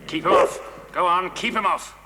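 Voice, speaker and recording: Villain for children's audio drama: male actor as harsh, bossy kidnapper, higher-set male voice, curt orders, heavy reverb. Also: normal speed, very noisy, close to an everyday voice. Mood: menacing.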